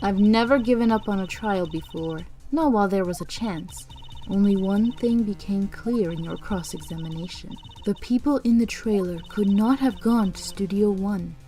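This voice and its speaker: Woman acting composed mentor lawyer, mid-pitched voice. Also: normal speed, natural voice. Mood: regretful. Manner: calm, sincere, reassuring. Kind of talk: video game fandub acting. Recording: music bed behind the voice.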